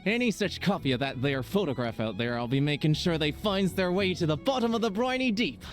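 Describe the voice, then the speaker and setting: Old salty game sailor, male performer: chivalrous bluster, archaic pirate talk, swinging pitch. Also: lively intonation, a little fast, faint music behind, natural voice. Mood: indignant.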